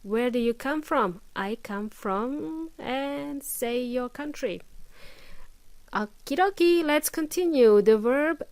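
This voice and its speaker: A woman's voice: sits high, expressive, slow, darkish tone, dry recording. A woman hosting an English lesson podcast, explaining patiently with plenty of examples and the odd filler. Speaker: English teacher, podcast host. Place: lives in Melbourne.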